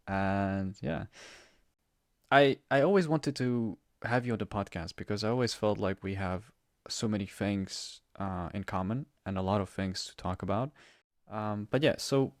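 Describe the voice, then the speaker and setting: A young man hosting a casual podcast interview, halting and restarting his words with many fillers. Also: deep voice, vocal fry, no room sound, studio quality. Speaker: podcast host, university student. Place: plain indoor room.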